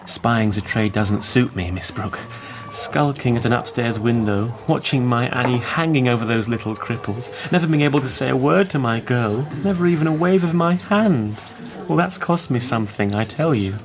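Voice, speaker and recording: A man's voice: medium pitch, moderate pace, hardly any pauses, crowd noise, lightly acted. A man playing a desperate, stubborn father. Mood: urgent, stubborn. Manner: urgent, blunt, emotional. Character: anxious father searching for his daughter, gruff and stubborn. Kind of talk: radio drama acting.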